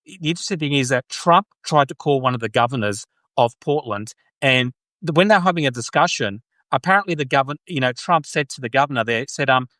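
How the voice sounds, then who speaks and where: A man's voice: medium pitch, animated, a little fast, few pauses, fairly bright tone, no room sound. Australian man chatting on a dry close mic. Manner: conversational, frequent restarts and agreeing. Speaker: podcast host. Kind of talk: casual political chat.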